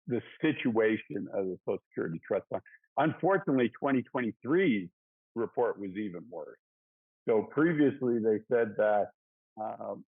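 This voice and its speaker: A man hosting a financial conference call, explaining points in deliberate, measured phrasing with emphatic pauses. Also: medium pitch, no echo. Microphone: phone or conference call line. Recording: tinny phone-like conference call line.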